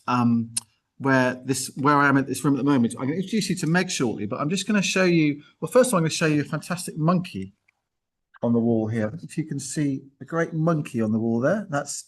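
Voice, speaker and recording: A man presents an informal live arts show and interviews guests. His delivery is enthusiastic and chatty, at a middling pitch, wandering off into friendly asides. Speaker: host.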